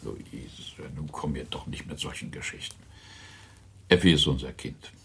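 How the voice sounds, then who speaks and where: An older man playing a resigned father and husband in a German radio play, husky, deliberate and weary. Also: rumbling low, a bit bright, exaggerated voice. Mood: weary resignation.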